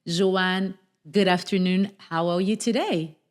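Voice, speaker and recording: A woman's voice: lowish voice, steady tone, slow. A woman hosting a podcast and interviewing a guest in a conversational way.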